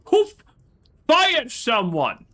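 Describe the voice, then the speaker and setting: Bright-voiced heckling young man. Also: extremely high pitch, wildly swooping pitch, slow pace. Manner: mocking, exasperated, crude interjections. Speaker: podcast co-host. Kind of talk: casual chat, heckling.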